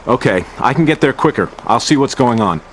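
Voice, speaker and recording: Male actor as game superhero: medium pitch, animated range, earnest, confident. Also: fairly quick, heavy background noise, natural voice. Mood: determined. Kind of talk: video game cutscene acting. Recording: music behind the voice.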